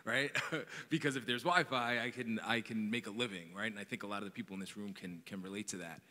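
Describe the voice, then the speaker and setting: A man from New York speaking on a panel with a bright, forceful edge to a lowish voice. He tells his story with earnest energy, and a large hall's long reverb trails after his words. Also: fast. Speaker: panelist, nonprofit founder. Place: large conference hall.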